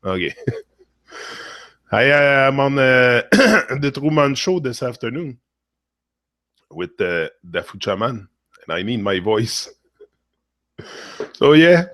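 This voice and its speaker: A man who is losing his voice, hosting a casual spiritual monologue and talking in a rambling, halting way with frequent fillers and repeated phrases. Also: medium pitch, animated, a bit bright, no room sound, clean studio sound. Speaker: motivational channel host.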